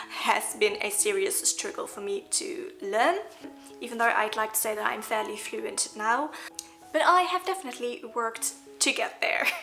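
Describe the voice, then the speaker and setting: A young woman from the Netherlands, a native Dutch speaker with a Dutch accent, chatting earnestly to camera in a vlog with soft laughs. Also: medium pitch. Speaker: vlogger. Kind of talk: casual talk to camera. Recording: background music.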